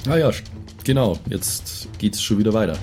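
Male tabletop player, low mellow voice, casual table talk. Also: expressive, normal speed. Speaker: tabletop role-playing player. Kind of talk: casual game chat. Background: background music.

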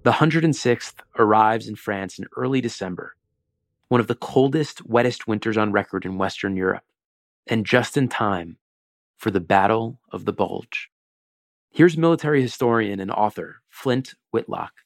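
A man narrating a historical documentary in a measured, solemn, scripted way with dramatic emphasis. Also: fairly low pitch, dry recording, clean studio sound.

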